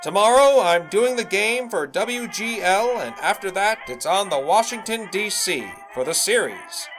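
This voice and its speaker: Guy doing a pompous high-voiced radio huckster, swoopy and showy, faint music behind. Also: lively intonation, a little slow, a bit bright. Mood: boastful. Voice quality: pompous, showy old-fashioned patter. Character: pompous young male radio salesman. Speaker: radio announcer character. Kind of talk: 1920s-style audio drama.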